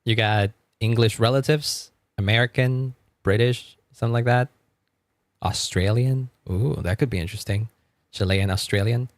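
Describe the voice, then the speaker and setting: Low-voiced man rambling on stream. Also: moderate pace, no room sound. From Argentina. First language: Spanish. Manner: rambling, stammers on repeated words, laughs often. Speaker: music streamer. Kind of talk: casual live-stream chat.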